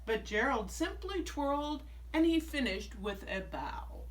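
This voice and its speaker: Slow-paced woman reading a children's storybook. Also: high voice, very animated. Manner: measured, deliberate phrasing with dramatic emphasis. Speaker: storybook reader, teacher reading aloud. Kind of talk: expressive read-aloud of a rhyming children's picture book. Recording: distant mic, faint background noise.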